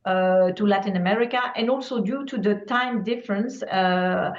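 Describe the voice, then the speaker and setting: A woman's voice: medium pitch, moderate pace. A woman moderating an online meeting, hesitant with many fillers as she hands the floor to speakers. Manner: hesitant, filler-heavy, handing over the floor. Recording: echoey, dull lo-fi audio.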